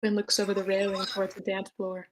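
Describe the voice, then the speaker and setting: A woman's voice: medium pitch, monotone, fast, slight background noise. A woman reading stage directions in a matter-of-fact way at a screenplay table read.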